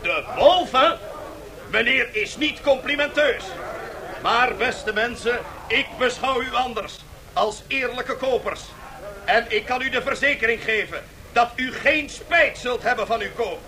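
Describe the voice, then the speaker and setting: A male actor playing a brash, mocking auctioneer in a radio play, with loud, theatrical market-barker patter. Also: very high-pitched, measured pace. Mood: rousing, sardonic. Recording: faintly noisy 1970s radio drama tape.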